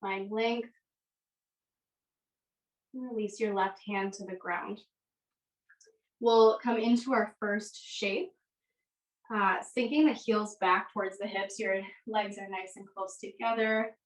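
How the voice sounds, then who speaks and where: A woman's voice: mid-range voice, steady tone, takes it slowly, long pauses. Echoey, distant woman guiding yoga. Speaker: yoga instructor. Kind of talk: guided yoga and meditation class instruction. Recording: echoey room, distant microphone.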